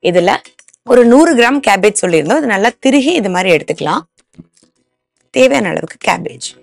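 A woman's voice: medium pitch, sing-song, brisk, creaky. A woman in her thirties hosting a cooking show, explaining each step plainly from a studio kitchen.

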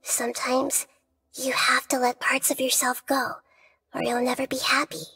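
A woman voicing a small, gentle child goddess of wisdom in a video game, soft and childlike, measured and philosophical. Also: sits high, completely flat delivery, breathy edge, exaggerated voice. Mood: solemn.

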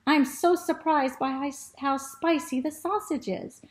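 Casting: woman as greedy, excitable boy dog for a children's storybook; raised pitch, unhurried, exclamatory delivery. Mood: greedy, frantic. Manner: exclamatory, drawn-out words and short repeated sniffing phrases. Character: excitable, greedy male dog narrating his food adventures. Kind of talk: expressive children's storybook reading.

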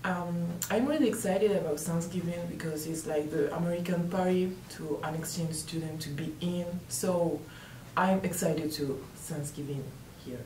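Exchange-student teen girl with French accent, lower voice, heard from a distance. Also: dark tone, echoey room, slight background noise. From France. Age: teens. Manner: halting, searching for words. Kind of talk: casual interview answer.

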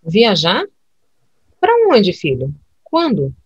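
A humble middle-aged mother in an audio drama. Her husky voice swings up and down as she questions and cares. The recording is dry and a little dull. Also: on the low side, huge pitch swings, slow, no room sound, exaggerated voice. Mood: puzzled. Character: humble, caring, questioning mother of a grown son.

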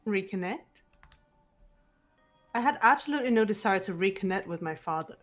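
A woman voicing a composed, guarded teenage girl detective in a video game, speaking in a measured, serious way, with bitterness held in check. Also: mid-range voice, lively intonation, lightly acted. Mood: cool, resolute. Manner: measured, serious, deliberate delivery. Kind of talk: amateur video game voice acting. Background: background music.

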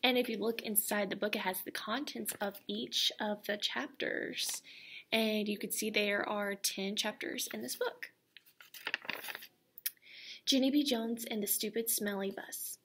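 A young woman teacher reading a children's book aloud to her class, expressive and animated, recorded on a laptop webcam in a classroom. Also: mid-range voice, measured pace, a little hiss or hum. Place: school classroom, indoors.

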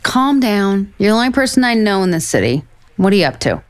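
A woman's voice: mid-range voice, big pitch swings, a little fast, lightly acted. An actress playing a blunt, sarcastic, self-deprecating woman writer in a naturalistic romantic comedy audio drama. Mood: dry, teasing.

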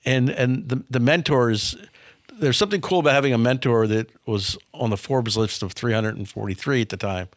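A man's voice: mid-range voice, normal speed, dry recording. A man in his fifties, a company founder, telling candid business stories in a studio interview, often repeating words as he starts a thought. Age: 50s. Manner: frank, anecdotal, repeats words when starting. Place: dark studio set. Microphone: studio boom mic.

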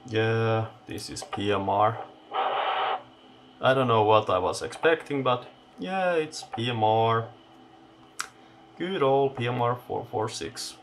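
A man giving unscripted hands-on commentary as he takes electronics apart, halting and thinking aloud in short phrases. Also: medium pitch, noisy background. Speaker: host of electronics teardown videos. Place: indoor electronics workbench.

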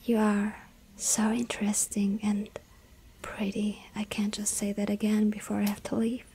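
A woman's voice: mid-range voice, monotone, moderate pace, warm tone, a little hiss or hum, close to an everyday voice, poor audio. A woman whispering as a lovestruck young vampire girl. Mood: tender whisper. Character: lovestruck young female vampire, soft and clingy. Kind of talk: ASMR roleplay.

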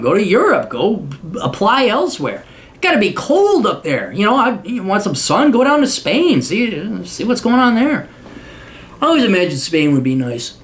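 Blunt, profane man ranting advice. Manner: blunt and profane, jumps into sudden high exclamations. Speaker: advice vlogger reading a viewer's letter. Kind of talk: casual advice rant. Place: home office, seated in leather chair.

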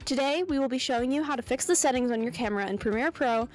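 A woman's voice: sits high, fast. A young woman reading scripted instructional narration as a tutorial presenter. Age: young adult. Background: background music.

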